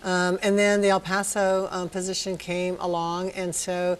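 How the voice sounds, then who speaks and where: A woman from Virginia, with a voice slightly lower than most, answers interview questions about local government. She explains things in a measured, articulate way, pausing on the odd filler word. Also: completely flat delivery, slight background noise. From Northern Virginia, USA. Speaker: city manager, interview guest. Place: TV studio.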